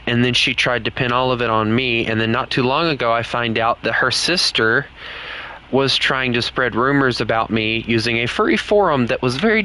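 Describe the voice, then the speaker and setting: Bright-voiced Southern gaming streamer. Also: male voice, mid-range voice, a little hiss or hum, poor audio. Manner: rambling, candid, talks to other players while playing. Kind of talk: casual live-stream chat.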